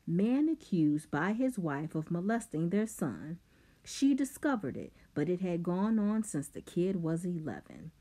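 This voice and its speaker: A woman's voice: fairly low pitch, big pitch swings, a little slow, warm tone, full character voice. A woman voicing a clever, scheming teenage boy who talks back coldly. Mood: defiant.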